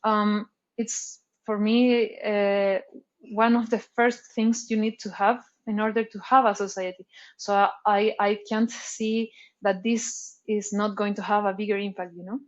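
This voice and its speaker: A woman's voice: mid-range voice, level tone, measured pace, highs cut off. A woman from Chile, a guest in a casual interview, thinking aloud and searching for words. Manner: thoughtful, searching for words with many "like"s and restarts. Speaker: artist guest.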